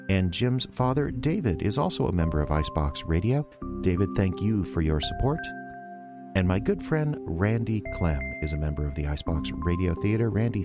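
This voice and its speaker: A man hosting an audio theater show, giving folksy, effusive thanks and announcements. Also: low-pitched. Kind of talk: appreciative, scripted announcing.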